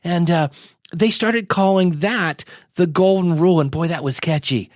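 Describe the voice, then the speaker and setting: An easygoing, modern-day messiah played by a man chats as a comedy podcast guest. His voice is notably high for a male, with a folksy, hesitant, conversational delivery like a small-time marketer. The sound is very dry. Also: normal speed, no room sound, close to an everyday voice. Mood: pleased, instructive. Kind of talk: casual comedic interview chat.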